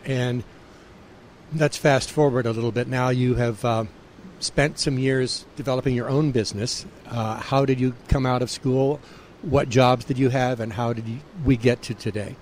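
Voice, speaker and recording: A male radio host interviewing a guest, speaking in a measured way with frequent pauses. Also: medium pitch, fairly even intonation, darkish tone, a little hiss or hum.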